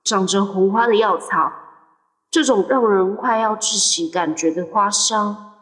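A woman's voice: mid-range voice, a little slow, a bit bright, poor audio. A woman playing a curious, easily frightened young teenage boy in an audio drama. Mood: pondering, uneasy. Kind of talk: Mandarin audio drama acting.

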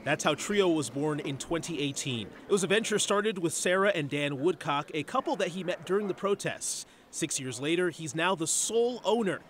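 A man narrating a TV news feature in a measured, storytelling voice. He speaks quite high for a man, and a faint hum of outdoor street noise sits under him. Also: expressive, fairly bright tone. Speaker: TV news reporter. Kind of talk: scripted news voice-over.

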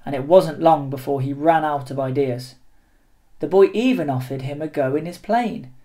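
A storyteller with a middle-pitched voice reads a picture book to young children. The delivery is slow and deliberate, with dramatic expression shaping each phrase. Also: warm tone.

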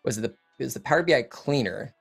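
A man's voice: mid-range voice, animated, fast, vocal fry, slightly rough, soft background music. A man in his thirties hosting a casual interview over a video call from his home, chatting loosely with many fillers and restarts. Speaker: host. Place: home room with lit wall panels and couch.

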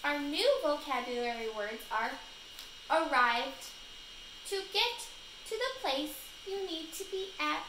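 A young woman giving a children's read-aloud lesson in a deliberate, instructional way, recorded indoors in a living room. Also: high-pitched, animated. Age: young adult. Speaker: teacher reading aloud. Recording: echoey room, noisy home recording.

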